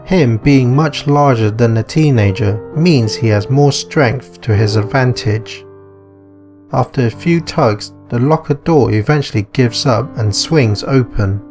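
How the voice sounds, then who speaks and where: A man's voice: mid-range voice, unhurried. A man reading scripted narration for a visual novel as its narrator. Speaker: narrator and author. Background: music.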